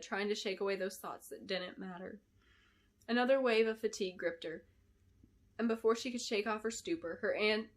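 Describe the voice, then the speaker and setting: A woman narrating a fantasy novel in an expressive, deliberate storytelling style, as an author reading aloud. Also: medium pitch. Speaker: author reading her book. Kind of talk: dramatic scripted fantasy narration.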